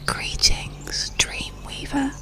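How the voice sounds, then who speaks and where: Whispering, gentle water nymph, as a narrator plays it. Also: very slow, full character voice. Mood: solemn welcome. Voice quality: breathy whisper. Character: gentle, grateful water nymphs guarding an enchanted lake.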